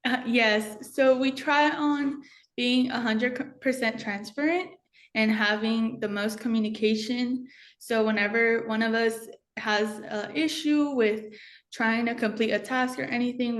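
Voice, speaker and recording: A teenage girl, a student company CEO, explaining her product in an interview, careful and a little hesitant. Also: fairly high pitch. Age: teens. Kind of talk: interview answers.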